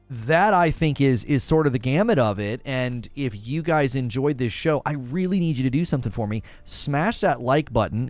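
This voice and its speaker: A man's voice: mid-range voice, a little mellow, no echo. A man hosting a casual gaming news podcast, talkative and loose, repeating words as he thinks aloud. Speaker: podcast host.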